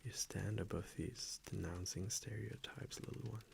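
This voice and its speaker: A man playing a gentle, confiding vampire boyfriend in an intimate ASMR roleplay, speaking hushed and hesitant and often slipping into a whisper. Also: lowish voice, fairly even intonation, moderate pace, creaky, slight background noise. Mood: tender, reassuring.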